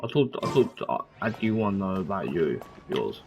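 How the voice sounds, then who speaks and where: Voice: deep voice, sing-song, normal speed. A video game player giving casual, offhand commentary, mumbling asides and trailing off. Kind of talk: casual gameplay commentary, reading dialogue aloud. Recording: dull lo-fi mic with game music.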